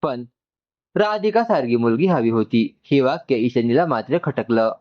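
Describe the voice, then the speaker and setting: A man's voice: mid-range voice, lively intonation, normal speed, lo-fi sound. A man narrates a scripted story and acts out its dialogue.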